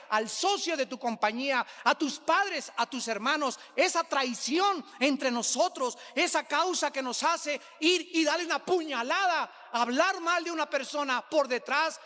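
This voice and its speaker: High-pitched, emphatic Mexican male preacher. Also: pushed and bright. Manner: emphatic, repeats phrases for effect. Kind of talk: sermon. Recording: echoing hall with long reverb.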